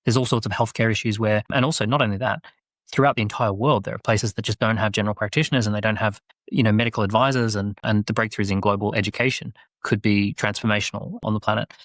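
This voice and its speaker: A man with a low voice talks quickly and persuasively as a guest on a podcast. He is animated and makes his points in long runs of speech. The sound is dry and close. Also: no room sound. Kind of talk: podcast conversation.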